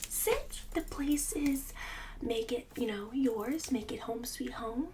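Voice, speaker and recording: A woman's voice: fairly high pitch, slow pace, recorded from a distance, noisy background. A woman playing a snobbish, bossy suburban book club hostess in an ASMR roleplay, condescending and catty with fake-sweet insults. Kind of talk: ASMR roleplay, whispered and soft-spoken.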